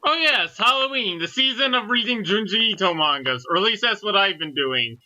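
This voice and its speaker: A man's voice: high voice, lively intonation, moderate pace, bright, forceful tone. A man co-hosting a casual group chat in an enthusiastic, rambling, slangy way, on a dull lo-fi recording. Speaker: co-host.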